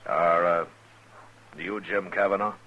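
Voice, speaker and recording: Frontier marshal, steady, terse, dry sardonic wit, 1950s radio western, dull old recording. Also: male voice, deep voice, slow pace. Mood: firm, dry. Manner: terse, dryly sardonic questioning.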